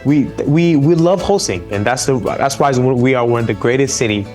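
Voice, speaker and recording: A man's voice: medium pitch, normal speed, a little mellow, soft background music. A man from Senegal, a chef guest on a live talk show, talking enthusiastically over a remote link. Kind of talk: remote interview.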